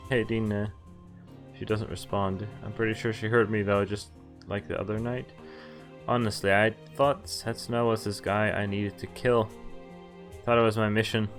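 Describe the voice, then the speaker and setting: Man, slightly low voice, conversational game read-aloud, occasional stumbles, faint music behind. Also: a bit bright. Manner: conversational, stumbles over lines and corrects himself. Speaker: streamer reading a visual novel aloud. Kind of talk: live read-aloud of visual novel dialogue and narration. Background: visual novel music.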